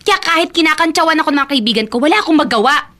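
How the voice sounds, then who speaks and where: A woman's voice: high-pitched, animated, rapid-fire, bright, forceful tone. A woman with a Filipino accent playing a defiant, spoiled eighteen-year-old daughter in a radio drama, bratty and sarcastic. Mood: indignant.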